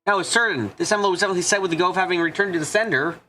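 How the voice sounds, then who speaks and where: A man's voice: sits high, racing, pushed and bright, a little hiss or hum. A man reading scripted visual novel narration as a fan dub streamer.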